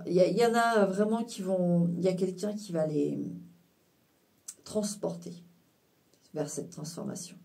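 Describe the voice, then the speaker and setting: Woman doing a tarot reading for viewers, lots of euh and restarts, low mellow voice, kinda far from the mic. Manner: halting, full of fillers and restarts, mixes in English phrases. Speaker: tarot card reader. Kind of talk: casual spiritual card reading to viewers.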